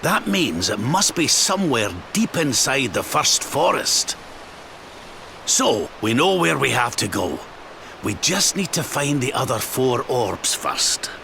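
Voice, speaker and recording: An actor playing a kindly older adventurer, a wise mentor in a video game. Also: male voice, medium pitch, big pitch swings, slow, vocal fry, background noise, full character voice. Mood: thoughtful. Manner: coaxing, avuncular.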